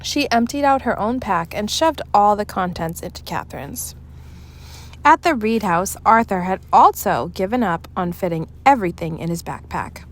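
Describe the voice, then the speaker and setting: A woman reads a children's story aloud in an expressive storytelling style. Her medium-pitched voice rises and falls with plenty of variety. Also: moderate pace. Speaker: storybook reader.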